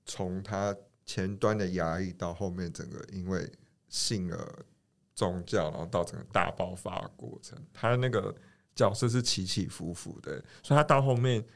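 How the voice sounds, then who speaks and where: A podcast host with a Taiwanese Mandarin accent chatting casually in an excitable, expressive way. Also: sits low, normal speed, vocal fry.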